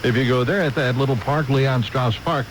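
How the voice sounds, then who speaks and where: A man's voice: mid-range voice, moderate pace. A man hosting a radio show, chatting casually in a rambling way on a noisy radio recording. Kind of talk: casual on-air chat. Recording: noisy, hissy radio aircheck.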